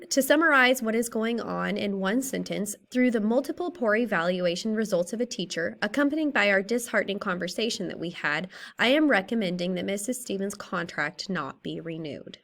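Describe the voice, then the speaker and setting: A woman in her thirties with a slightly raspy, tired voice, methodically presenting a school case study for a class. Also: mid-range voice. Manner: steady, methodical, works through a list of questions. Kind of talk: reading a prepared class presentation.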